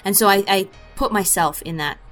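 A woman's voice: mid-range voice, moderate pace, a bit bright, faint music behind. A woman who voices a character in an audio drama, answering interview questions in a thoughtful, rambling way with many fillers and restarts.

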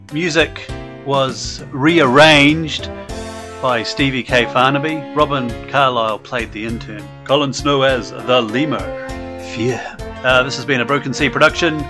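A man reading a podcast drama's closing credits in an informal scripted announcer style. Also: mid-range voice.

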